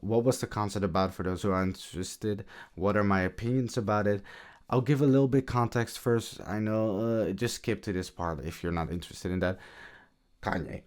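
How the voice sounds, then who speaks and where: A young man with a low, raspy voice, worn out in the small hours after a concert. He talks quietly and casually, rambling in slang as he catches his audience up. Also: steady tone, a little fast. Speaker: vlogger. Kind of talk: casual late-night vlog commentary.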